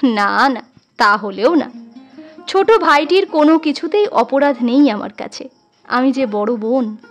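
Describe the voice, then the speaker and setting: A woman's voice: sits high, expressive, a little slow, soft background music. A woman voicing a doting, sentimental village sister-in-law in a story reading, affectionate and emotional. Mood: teasing, affectionate.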